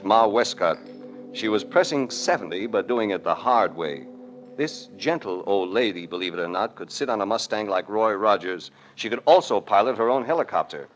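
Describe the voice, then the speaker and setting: An actor playing a cool, tough American investigator, narrating and talking in a wry, clipped hardboiled 1940s radio drama style. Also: male voice, lowish voice, close to an everyday voice. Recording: noisy old radio broadcast recording.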